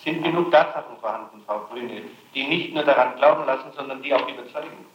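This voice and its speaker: Fast-talking male interrogator in an echoey room. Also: mid-range voice. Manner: pressing, accusatory, persistent. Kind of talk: police-style questioning. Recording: echoey archival interrogation tape.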